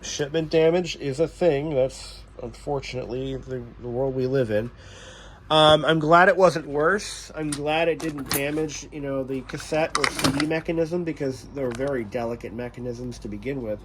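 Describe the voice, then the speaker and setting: A man's voice: mid-range voice, normal speed, breathy edge. A man working as a repairman and hosting his own vlog, talking casually and thinking aloud as he works. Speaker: radio repairman and video host.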